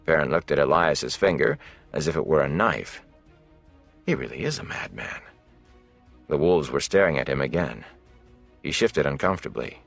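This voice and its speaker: Deep, gravelly male audiobook narrator. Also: moderate pace, fairly bright tone, faint music behind. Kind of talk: scripted fantasy narration.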